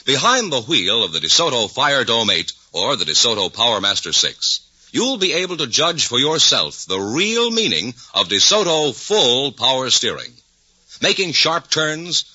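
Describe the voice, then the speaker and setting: A man's voice: mid-range voice, pushed and bright, husky, dry recording. An American man announcing on 1950s radio with a polished, salesmanlike delivery. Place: radio studio. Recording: dull, dry vintage radio broadcast.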